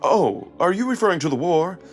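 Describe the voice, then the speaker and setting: A man's voice: sits high, sing-song, brisk, soft background music, pitched above the performer's own voice. A man voicing a young jackal prince, cheeky and provocative, with a teasing, smirking delivery in a visual novel performance. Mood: smug teasing.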